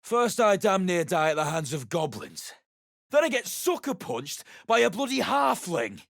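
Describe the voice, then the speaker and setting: A high-pitched angry townsman, gravelly, slow and shouting. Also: very animated, no echo, studio quality, heavily acted. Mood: aggrieved. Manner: shouting, complaining. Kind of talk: video game voice acting.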